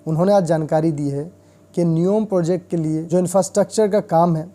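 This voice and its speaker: A man's voice: fairly high pitch, fairly quick, warm tone. A man with an Indian accent presenting an informal news update, explaining things directly to viewers with examples.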